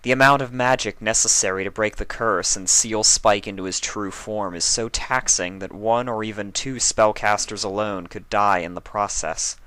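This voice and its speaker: A man voicing a stern but caring pony princess of the night who talks softly and gravely. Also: fairly low pitch, moderate pace, hardly any pauses, no echo, poor audio.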